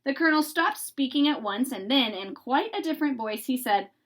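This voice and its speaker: A woman reading a children's story aloud in an expressive, deliberate narrating style. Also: high-pitched. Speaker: storybook reader.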